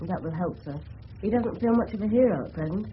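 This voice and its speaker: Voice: mid-range voice, expressive, fast, natural voice. Earnest English schoolboy played by an actor, muffled recording. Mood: earnest, polite. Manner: respectful, earnest. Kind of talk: 1970s British radio drama acting. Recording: muffled old radio recording with hiss.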